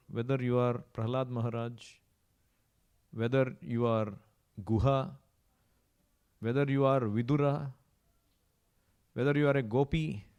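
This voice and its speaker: A man's voice: mid-range voice, darkish tone, smooth. Indian male preacher, emphatic storyteller. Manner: emphatic, repetitive, rhetorical questions. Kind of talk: sermon with storytelling.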